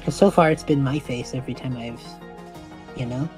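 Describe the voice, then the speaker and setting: A man's voice: medium pitch, moderate pace, over music, lightly acted. A man playing an easygoing half-elf student in his early twenties in an improvised story podcast, casual, good-natured and a little hesitant. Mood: casual, thoughtful.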